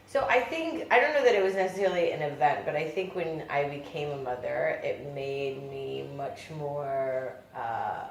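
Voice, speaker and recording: Low-voiced woman in an echoey room. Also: animated, a little hiss or hum. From United States. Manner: thoughtful, unhurried, trails off and restarts. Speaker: doll company founder. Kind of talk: casual interview answers.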